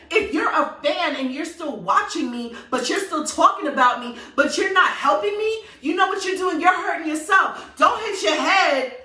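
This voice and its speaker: A sassy woman with a New York accent speaks in a high, bright voice that swoops all over the place. She rants passionately and hammers her points home. Her voice sounds echoey and a little distant from the mic. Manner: animated, sassy, emphatic repetition. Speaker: vlogger. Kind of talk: passionate direct-to-camera rant.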